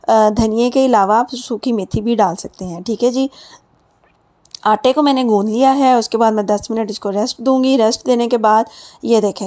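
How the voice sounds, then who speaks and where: A woman narrates home cooking in a soft-edged, mellow voice that sits a little high. She talks casually and chattily, guiding the listener through steps like a channel host. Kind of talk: casual recipe voice-over.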